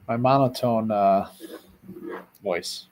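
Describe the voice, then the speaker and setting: A man's voice: fairly low pitch, slow pace, noisy background. Upbeat man co-hosting a chat stream. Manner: upbeat, playful banter. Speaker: co-host. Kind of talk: casual livestream chat.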